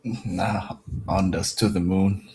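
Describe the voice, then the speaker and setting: A man from Germany joining a live trading stream, joking and relaxed in casual chat. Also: sits low, slow pace.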